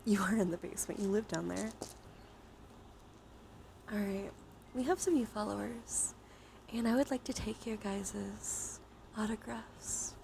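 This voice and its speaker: A woman hosting a live ASMR stream, speaking softly and gently to viewers and often slipping into whispers. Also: medium pitch, unhurried, gravelly, long pauses, slight background noise.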